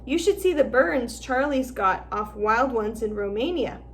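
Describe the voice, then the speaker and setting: An eager young schoolboy wizard, read by a woman, boastful and lively, always keen to show off what he knows. The voice is fairly high, with faint noise underneath. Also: a little slow. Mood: explaining. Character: eager schoolboy wizard, know-it-all about dragons.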